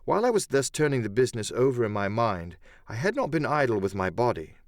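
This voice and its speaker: A man's voice: medium pitch, big pitch swings, fast talker, dry recording, studio quality. A man reading a sea adventure story as a dramatic first-person audiobook narrator.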